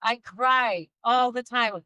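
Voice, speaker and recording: A woman with a slight Spanish accent, Spanish her first language, delivers an emphatic motivational speech in a high, bright voice. She stretches out her key lines and laughs lightly between points. The sound is dry and close. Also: slow, clear, resonant tone, no room sound. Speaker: motivational speaker. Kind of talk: inspirational stage speech.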